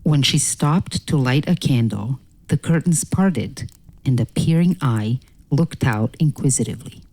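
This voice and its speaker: A woman narrating a scripted fairy tale in a measured, deliberate way. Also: a bass voice, animated, mellow, rough recording.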